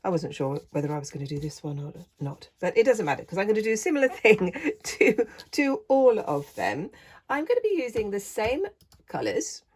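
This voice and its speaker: A woman with a croaky voice, chatting casually through a painting tutorial and gently encouraging the viewer. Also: mid-range voice, very animated, moderate pace. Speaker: art tutor.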